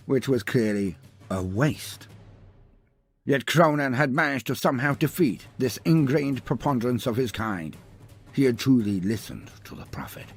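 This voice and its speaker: Guy doing grand wordy comic story narration, slightly husky, lively pitch swings, music behind. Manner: theatrical, wordy, mock-grandiose. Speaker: narrator of a lore story video. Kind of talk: scripted humorous storytelling narration.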